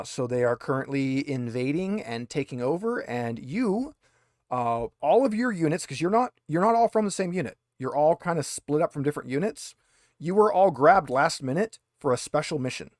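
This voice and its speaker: A man's voice: fairly high pitch, no room sound, clean studio sound. A man running a tabletop roleplaying game, narrating conversationally with wry asides. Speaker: game master.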